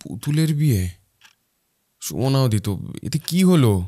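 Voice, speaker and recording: A man's voice: medium pitch, very animated, slow, warm tone. A man playing a weary, easily swayed young husband in a village cartoon, grumbling and a little put-upon. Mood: groggy, startled. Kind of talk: animated cartoon moral-story acting.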